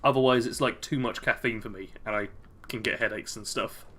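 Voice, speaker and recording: British male streamer, bright tone, casual chat-reading, easy chuckles. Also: on the low side, steady tone, brisk, slight background noise. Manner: chatty, chuckles often, answers chat. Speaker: game streamer.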